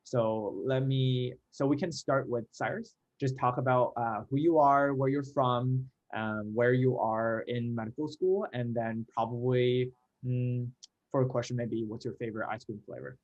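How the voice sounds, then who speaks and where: A man's voice: mid-range voice, fairly even intonation, measured pace. Hesitant young man hosting a call. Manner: hesitant, many fillers and restarts. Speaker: panel moderator. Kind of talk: hosting an online Q&A panel. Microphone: video call. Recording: dull lo-fi call audio.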